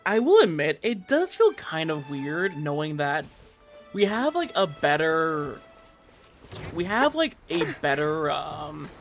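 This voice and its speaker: A man's voice: fairly high pitch, sing-song, slow pace, gravelly, a bit bright, soft background music. A man streaming a game with casual chat commentary, rambling along with lots of filler words. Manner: rambling, filler-heavy, frequent "like".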